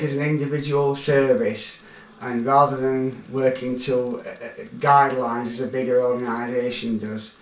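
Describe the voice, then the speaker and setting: A man's voice: mid-range voice, a little mellow. A man speaking informally as a personal assistant. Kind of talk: informal talk. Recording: echoey, distant, noisy location sound.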